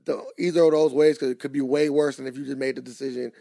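A man, co-hosting a casual podcast, animated and quick to laugh as he makes his points. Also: mid-range voice, creaky, no echo, studio quality. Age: 20s. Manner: animated, laughs often, drawn-out emphatic points. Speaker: podcast co-host.

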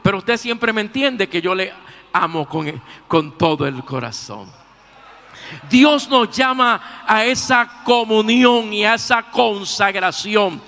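A Puerto Rican man in his sixties preaching from the pulpit in a high, bright, ringing voice. He swings from shouted fervor down to near-murmurs and keeps dropping a praise phrase between lines. His voice hangs in the long reverb of a large church hall. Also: animated, slow. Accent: Puerto Rican Spanish. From Puerto Rico. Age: 60s. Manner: preaching with sudden shouted peaks and drops to a soft, low register; repeats a stock praise phrase between sentences. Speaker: pastor. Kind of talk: sermon.

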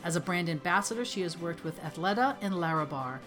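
A woman's voice: lowish voice, expressive, fairly quick, over music. A woman hosting a podcast interview, upbeat and affirming toward her guest. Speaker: podcast host.

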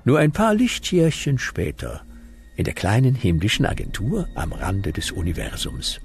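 A mock-solemn narrator of a comic radio play. His gravelly mid-range voice swings dramatically through the scripted storytelling, with faint music underneath. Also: on the low side, wildly swooping pitch, normal speed, exaggerated voice.

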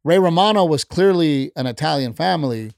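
A man's voice: on the high side, lively intonation, brisk, creaky, no room sound, studio quality. A middle-aged man, a stand-up comedian and podcast guest, talking bluntly and heatedly in casual chat, with plenty of profanity. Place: podcast studio.

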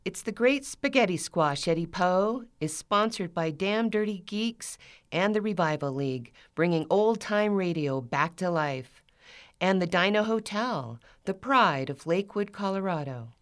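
A woman with a fairly low voice works as the announcer. She delivers a scripted radio sponsor message in presentational style. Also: expressive, measured pace.

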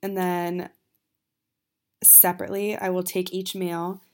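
A young woman hosts a casual solo podcast in a slightly low voice, a bit sniffly from allergies. She talks informally and without a script, wandering a little and correcting herself as she goes. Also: flat delivery, unhurried, a little mellow. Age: young adult. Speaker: podcast host and student.

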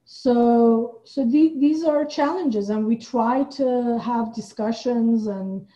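Dull-sounding, mellow-voiced woman, thoughtful interview guest. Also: a little high, slow. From Iran. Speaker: podcast guest, playwright and theater director. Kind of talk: reflective interview conversation.

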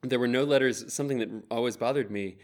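A man, answering interview questions in a relaxed, thoughtful way, with many fillers. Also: mid-range voice, fast talker. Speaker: interview guest.

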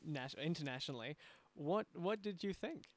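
A man's voice: fairly high pitch, expressive, normal speed, a crowd in the background. A man hosting a podcast interview in a conversational style. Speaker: podcast host and audio drama adapter.

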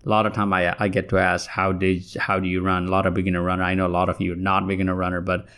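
Host, male, 50s, Nepali accent with a Southern US lilt, low voice, halting solo podcast delivery. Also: monotone, fairly bright tone, clean tone. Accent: Nepali with a Southern US tinge. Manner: halting, many restarts and fillers.

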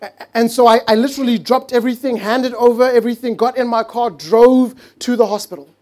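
Earnest preaching man with mellow tone. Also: very high-pitched. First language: not English. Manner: earnest, stretches key words slowly, drops to a hush for emphasis. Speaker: pastor. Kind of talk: sermon.

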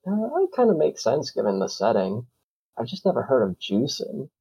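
A man's voice: mid-range voice, huge pitch swings, moderate pace, vocal fry, warm tone, husky, no echo, lo-fi sound. A man co-hosting a casual podcast, wry and profane in his comments. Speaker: podcast co-host. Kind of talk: casual chat.

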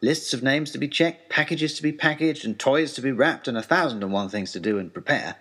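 A man playing an earnest, eager young gentleman adventurer in an audio story, narrating a letter in a formal, wordy, wry way with deliberate pauses. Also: medium pitch, slightly rough. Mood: brisk, cheerful.

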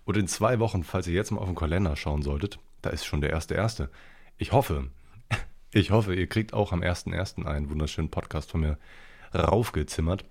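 Deep gravelly man with a head cold. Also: normal speed, darkish tone. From Ruhr area, Germany. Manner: rambling, colloquial, blunt slang. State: congested, slightly nasal from a cold. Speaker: podcast host. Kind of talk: casual solo podcast chat.

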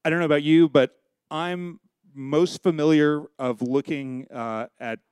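A man's voice: fairly high pitch, fairly even intonation, measured pace, darkish tone, clear, resonant tone. A man hosting an event, introducing the speaker with many fillers and hesitations. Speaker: event host. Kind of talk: introducing a speaker, podcast announcements.

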